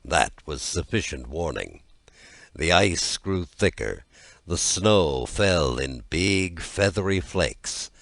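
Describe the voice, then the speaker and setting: A man reading a scripted fairy tale as an audiobook narrator, in a measured and expressive storytelling manner. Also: deep voice, vocal fry, dry recording.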